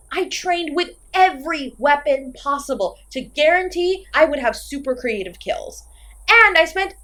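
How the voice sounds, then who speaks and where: A woman's voice: high voice, pushed and bright, full character voice. A woman playing an insecure, petulant, chatty slasher killer in a comedic horror audio roleplay, theatrical and exasperated, swinging between sulky and manic.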